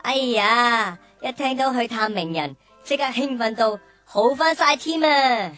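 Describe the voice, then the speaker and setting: Casting: a cheeky little cartoon bull calf, high-pitched, unhurried, melodramatic show-off. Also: female voice, a bit bright, soft background music. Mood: cheeky, eager. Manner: whiny, exaggerated. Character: cheeky young male cartoon cow, whiny show-off. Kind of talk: Cantonese children's radio drama acting.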